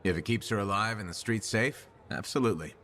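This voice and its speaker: An actor playing a confident, easygoing freelancer hero in a video game, with a dry, teasing manner. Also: male voice, lowish voice, brisk, fairly bright tone, a little hiss or hum, natural voice. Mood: matter-of-fact. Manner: dry, wry. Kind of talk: game dialogue acting.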